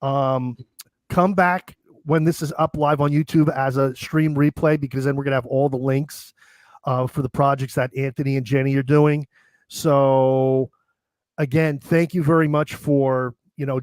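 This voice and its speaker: A man's voice: mid-range voice, level tone, normal speed, darkish tone, no room sound. A man hosting a live online show who is getting over an illness and losing his voice, chatting and thanking his guests in a rambling way. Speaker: host. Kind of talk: live stream chat.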